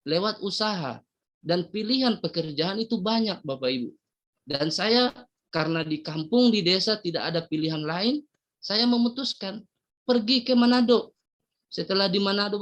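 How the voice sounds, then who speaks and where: A man's voice: high-pitched, fairly bright tone. A middle-aged man from Medan giving a motivational business presentation, addressing the audience directly with rhetorical questions and emphatic rising bursts. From Medan, North Sumatra, Indonesia.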